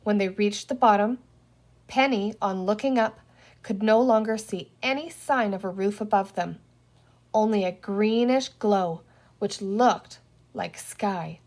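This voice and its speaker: A woman's voice: mid-range voice, a little slow, darkish tone. A woman reading a children's storybook aloud as narrator. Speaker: reader, teacher reading aloud.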